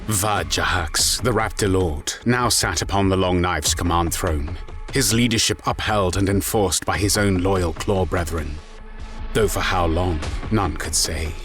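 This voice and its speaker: Casting: male storyteller with a bass register, solemn and deliberate dramatic narration over a clear music bed. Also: fairly bright tone.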